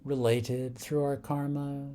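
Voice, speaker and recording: A man leading a guided meditation in a calm, unhurried manner, leaving long pauses between short phrases. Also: mid-range voice, slight background noise.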